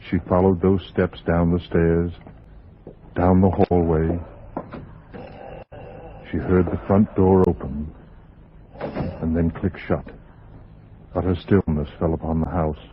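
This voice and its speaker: An actor narrating a 1940s radio suspense drama in a measured, dramatic way, with weighty pauses. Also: male voice, deep voice, slight background noise. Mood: grave, suspenseful. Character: grave male narrator of a suspense tale. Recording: muffled 1940s radio recording.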